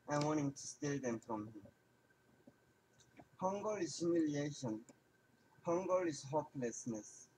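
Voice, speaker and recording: Young adult male. Measured, earnest prepared speech. Faint background noise. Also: a little high, a little mellow, low-quality recording. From North Korea. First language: Korean.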